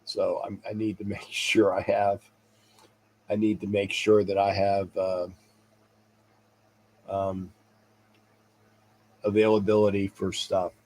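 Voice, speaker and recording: A man's voice: lowish voice, level tone, takes it slowly, pauses often, darkish tone. Congested man rambling on a livestream. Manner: rambling, repeats himself, restarts sentences; chuckles to himself. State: congested, stuffy nose. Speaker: sports talk show host. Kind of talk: casual livestream chat with viewers.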